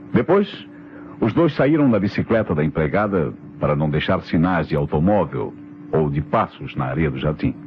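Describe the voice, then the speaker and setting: A Brazilian actor playing a shrewd, self-assured police inspector who lays out crimes theatrically in an old radio mystery. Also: male voice, fairly low pitch, a little hiss or hum. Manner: theatrical, deliberate, accusing explanations. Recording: dull old radio recording, faint music.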